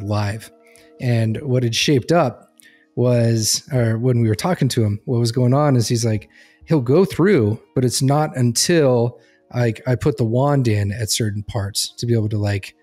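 A man's voice: mid-range voice, moderate pace, a little mellow, faint music behind. A man telling anecdotes in casual podcast talk. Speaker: podcast speaker on behavior analysis.

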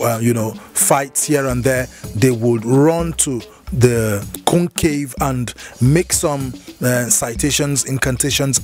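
A middle-aged man with a Nigerian accent hosting a show outdoors, speaking casually into a handheld mic with lots of fillers and sing-song stresses. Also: mid-range voice. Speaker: show host. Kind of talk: casual presenting to camera.

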